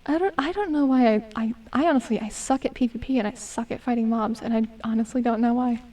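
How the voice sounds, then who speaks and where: A woman in her twenties, going hoarse as she chats casually and mutters to herself while streaming a game. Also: fairly high pitch, fast, mellow, distant mic. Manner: chatty, self-mocking, hums and mutters to herself. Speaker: gaming streamer. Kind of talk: casual gameplay commentary.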